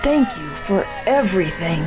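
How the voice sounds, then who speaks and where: A woman's voice: on the low side, very animated, normal speed. A woman acting in an audio drama as a shaken, grateful neighbourhood woman rescued from a fire. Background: faint background music.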